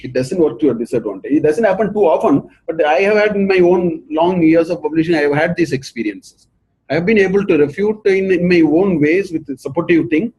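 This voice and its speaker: Husky Indian man giving a lecture. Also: a little high, darkish tone, low-quality recording. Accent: Indian English. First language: not English. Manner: earnest, repeats points for emphasis. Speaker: professor giving a research talk.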